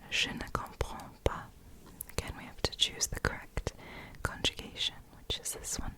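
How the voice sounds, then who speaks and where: A young woman whispering softly while she practises a language, musing aloud and chuckling at her own slips. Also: moderate pace. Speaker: ASMR creator.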